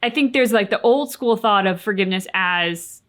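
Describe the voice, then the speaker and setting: A woman's voice: medium pitch, moderate pace, a bit bright. Earnest woman co-hosting a reaction show. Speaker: reaction channel co-host. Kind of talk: casual reflective discussion.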